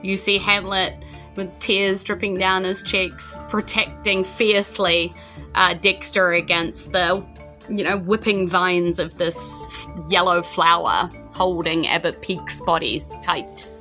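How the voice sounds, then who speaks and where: A woman's voice: fairly low pitch, level tone, a little slow, pushed and bright, soft background music. A woman with a New Zealand accent, narrating a tabletop game as game master, describing scenes while searching for words.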